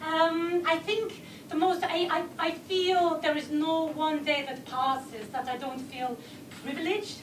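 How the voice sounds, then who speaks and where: A high-pitched woman talks earnestly about her work, stumbling and repeating words as she gathers her thoughts. She sounds far from the mic, in an echoey room with steady background noise. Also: slow. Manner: earnest, hesitant, repeats words while searching. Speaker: head speech and language therapist. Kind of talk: recorded interview.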